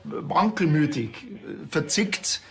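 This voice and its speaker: An actor playing a wry, deadpan know-it-all policeman in a TV comedy. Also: a little high, takes it slowly, full character voice. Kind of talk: TV comedy-crime dialogue.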